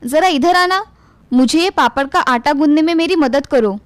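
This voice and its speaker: A woman voicing a brisk, thrifty village mother-in-law who runs a small food trade, in a storybook tale. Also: high voice, no echo, lightly acted. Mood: bossy, dismissive. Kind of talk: storybook character dialogue.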